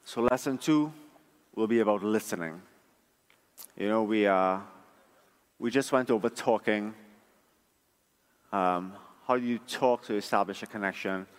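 A man delivers a conference talk. He explains things in a measured, conversational way. Long reverb from a large hall trails his words. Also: fairly low pitch, clean tone. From Trinidad. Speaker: conference speaker, front-end engineer.

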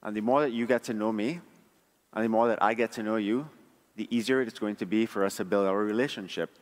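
A man from Trinidad gives a prepared conference talk in a measured, conversational, explanatory way. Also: fairly low pitch. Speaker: conference speaker, front-end engineer. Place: large conference room. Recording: echoey hall, long reverb.

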